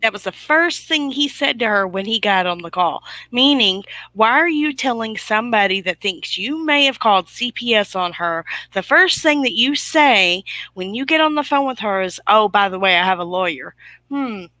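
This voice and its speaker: A woman's voice: fairly high pitch, animated, moderate pace, pushed and bright, no echo, low-quality recording. A woman hosting an online broadcast in casual, opinionated chat, emphatic and fond of rhetorical questions.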